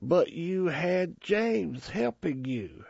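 A man's voice: high voice, animated, drawn-out words, vocal fry, darkish tone, breathy edge, no echo, full character voice. A man voicing a wounded young soldier who is bitter and despairing after learning he is blind. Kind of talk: audio drama acting. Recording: muffled lo-fi recording.

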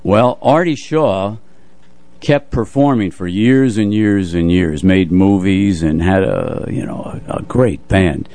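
A man in his eighties reminiscing casually as a radio music show host, telling stories in a measured way and repeating phrases. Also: deep voice, warm tone. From United States, New Jersey area. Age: 80s. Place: radio studio.

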